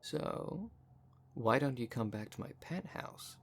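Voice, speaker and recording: A man's voice: medium pitch, expressive, slow, fairly bright tone, exaggerated voice. A man voicing a vain, flirtatious, snobbish werecat socialite in a scripted roleplay spoken to the listener, with a pompous, haughty manner. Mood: boastful, flirtatious. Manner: pompous, haughty, smooth-talking. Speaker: voice actor in audio roleplay.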